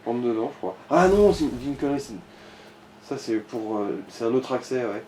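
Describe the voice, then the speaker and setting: A man's voice: mid-range voice, lively intonation, moderate pace, mellow, slight background noise, rough recording. A man chatting informally as he advises someone playing a game, in a hesitant way that often trails off. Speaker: companion advising the player. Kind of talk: casual chat, backseat gaming advice.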